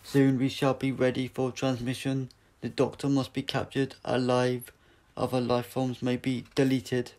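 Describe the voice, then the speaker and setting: A man voicing a merciless metallic robot leader in an audiobook, giving stiff, booming commands. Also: completely flat delivery, measured pace, darkish tone, lightly acted. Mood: cold, commanding.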